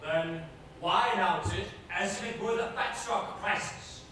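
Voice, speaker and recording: Male actor as imperious theatrical tutor, high-pitched and declamatory, stage play heard in a very echoey hall. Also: sharp tone. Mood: exasperated, commanding. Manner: pompous, declamatory, deliberate diction. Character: imperious, exacting male acting coach with grand theatrical flair. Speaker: stage actor in an amateur two-man play. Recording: very echoey theater, distant mic, noisy.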